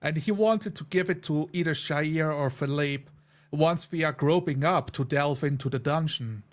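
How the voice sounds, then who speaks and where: Higher-voiced man chatting about gameplay. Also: normal speed. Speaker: tabletop game player. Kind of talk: casual chat about a roleplaying game.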